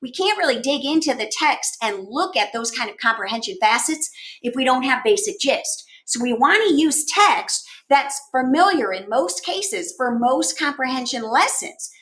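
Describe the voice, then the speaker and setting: A woman giving conversational instructional advice to teachers in an emphatic, animated manner, often posing rhetorical questions. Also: high voice. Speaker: education consultant answering teachers' questions. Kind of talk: conversational instructional talk to camera.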